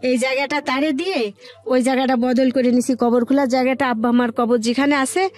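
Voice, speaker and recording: A woman's voice: high-pitched, steady tone, brisk, a little mellow. A middle-aged woman with a colloquial Bangladeshi accent, telling her own life story in a plain-spoken, conversational way.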